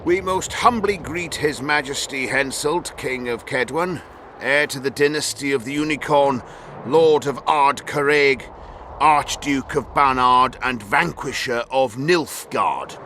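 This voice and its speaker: An actor playing an obsequious, greedy middle-aged count in a video game, speaking in a formal, deferential way. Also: male voice, medium pitch, a little slow, pushed and bright, a little hiss or hum. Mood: pompous. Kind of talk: dramatic video game acting.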